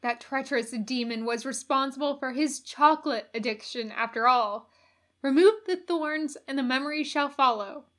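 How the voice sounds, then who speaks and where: A woman reading a story aloud as expressive scripted narration. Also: high voice, moderate pace. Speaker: audiobook reader.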